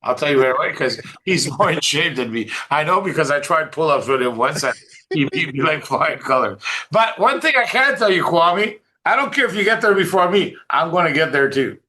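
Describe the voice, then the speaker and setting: A man's voice: a little high, very animated, fairly quick, bright, forceful tone. A man hosting an online team call, talking off the cuff and insistently, repeating points and checking that listeners follow. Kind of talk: unscripted motivational talk.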